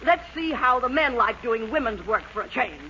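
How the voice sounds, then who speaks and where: An actress playing a prim, brisk middle-aged married lady in a 1940s radio comedy. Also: fairly high pitch, lively intonation, sharp tone. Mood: resolute. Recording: dull, very noisy old radio recording.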